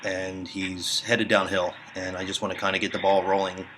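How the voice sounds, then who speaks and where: A man making a prank call, hesitant and deadpan, filling pauses with ums. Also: sits low, background noise. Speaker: prank caller.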